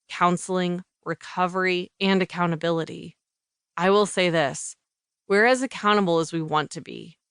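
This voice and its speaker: A woman's voice: fairly low pitch, creaky, no room sound, clean studio sound. A woman reading scripted audiobook narration.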